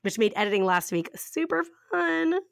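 A woman in her thirties co-hosting a podcast, raspy and a little hoarse from a cough, chatting casually and laughing often as she rambles through stories. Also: on the high side, sing-song, normal speed, dry recording, clean studio sound. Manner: giggly, rambling storyteller who laughs often. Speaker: podcast co-host. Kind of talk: casual chat.

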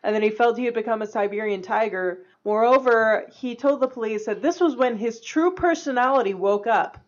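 A woman's voice: on the high side, brisk, lo-fi sound. A woman co-hosting a casual podcast, retelling an odd news story with a giggly manner. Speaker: podcast co-host. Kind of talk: casual chat, reading a news story aloud.

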